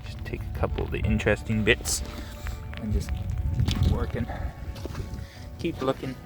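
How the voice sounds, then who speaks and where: A man casually narrating his rock hunt on a rainy beach outdoors, relaxed and musing, thinking aloud with small restarts. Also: on the low side, normal speed, over music. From Canada. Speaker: rockhounding vlogger.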